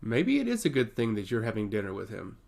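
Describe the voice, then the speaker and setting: A man's voice: lowish voice, wildly swooping pitch, fast talker, creaky, a little mellow, exaggerated voice. A man voicing a blunt, easygoing noble brother in a fantasy audiobook, direct and wry. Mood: thoughtful, wry.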